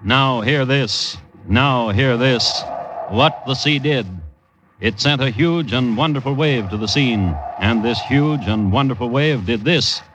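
A man narrating a scripted old-time radio story in a wry, declamatory way with deliberate rhetorical phrasing. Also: mid-range voice, background noise. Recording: old radio broadcast with music behind, dull. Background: music.

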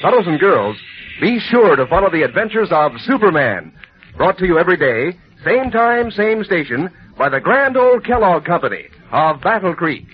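A man's voice: high-pitched, very animated, moderate pace, faint music behind. A male announcer reading 1940s radio ads in a peppy, salesman-like way. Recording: dull lo-fi old radio recording.

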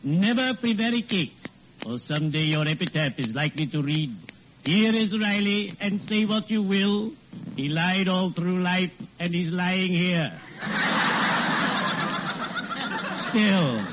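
Morbid, genteel radio undertaker through heavy noise. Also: male voice, high-pitched. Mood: solemn, unctuous. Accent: American. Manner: formal, mournful, punning, drawn-out delivery. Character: morbid, genteel, lugubrious male undertaker with flowery speech. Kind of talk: 1940s American radio comedy acting. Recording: noisy, muffled vintage radio recording.

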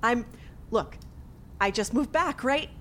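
A woman's voice: a little high, takes it slowly, fairly bright tone, a little hiss or hum. An actress playing a secretly murderous young woman who feigns innocence among old friends in an audio drama. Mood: pleading, nervous.

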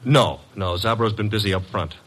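An actor playing a young, cheeky detective's assistant in an old radio drama, flippant, slangy and streetwise. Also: male voice, fairly low pitch, normal speed, background noise, lightly acted. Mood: breezy.

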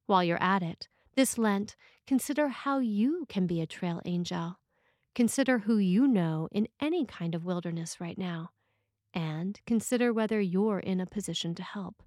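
A woman reading a scripted sermon as a preacher, in a measured, reflective way. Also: medium pitch, expressive, a little mellow, no echo, clean studio sound.

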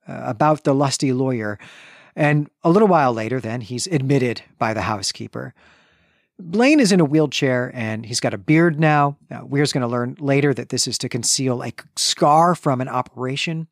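A man's voice: fairly high pitch, no room sound, clean studio sound. A man co-hosting a literary podcast, thoughtfully recapping and analyzing chapters of a novel. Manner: thoughtful, measured, with frequent self-corrections. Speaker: podcast co-host.

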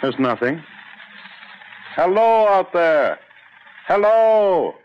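An actor playing a selfish, irritable middle-aged scientist husband, curt and contemptuous, in old-time radio drama style. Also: male voice, sits high, sing-song, drawn-out words, smooth, heavily acted. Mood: scornful. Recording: muffled, hissy old radio recording.

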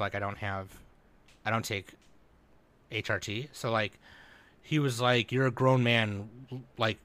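A man with a fairly low, bright and forceful voice hosts a casual podcast chat. He talks loosely in slangy, swear-filled bro-speak. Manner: profane slang, bro-heavy talk. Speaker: podcast host.

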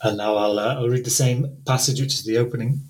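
A man's voice: mid-range voice, steady tone, fast, a little mellow. A man from the UK, a translator speaking hesitantly with many fillers and restarts, then reading a story aloud.

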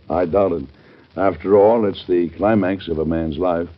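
Earnest president, really deep husky voice, formal old radio drama style, muffled recording. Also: male voice, steady tone, measured pace. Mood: earnest, respectful. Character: earnest, resolute male president of the United States. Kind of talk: 1940s radio drama acting. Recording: dull old radio recording, faint music.

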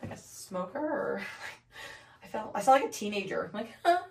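A young woman co-hosting a podcast from her home office, hoarse from laryngitis, chatting casually with many fillers. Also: medium pitch, sing-song, moderate pace. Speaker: podcast co-host. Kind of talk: casual chat. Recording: echoey room, distant mic.

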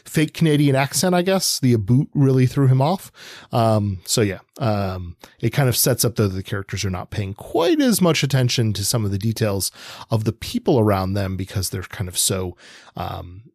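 A man's voice: on the low side, very animated, normal speed, darkish tone, no echo, studio quality. A man co-hosting a casual literary discussion, hesitantly thinking aloud in fragments. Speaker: podcast co-host.

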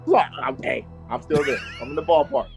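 A man's voice: on the high side, sing-song, fairly quick, creaky, soft background music. A man co-hosting a podcast, telling a true crime story in casual chat and laughing often. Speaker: podcast co-host.

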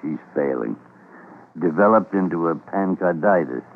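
Deep-voiced, fatherly old radio doctor. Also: animated, normal speed. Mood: grave, clinical. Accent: American. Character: gruff, fatherly older senior doctor, man. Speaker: actor in a medical drama. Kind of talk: classic American radio drama acting. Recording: muffled, hissy old radio recording.

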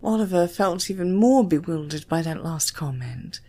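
A woman's voice: fairly low pitch, animated, moderate pace, mellow. A woman with a British accent, reading a scripted story expressively as an audiobook narrator.

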